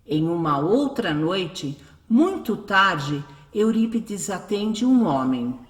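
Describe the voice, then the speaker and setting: A woman's voice: fairly low pitch, big pitch swings, takes it slowly. A Brazilian woman narrating a scripted radio drama. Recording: echoey, faint music behind.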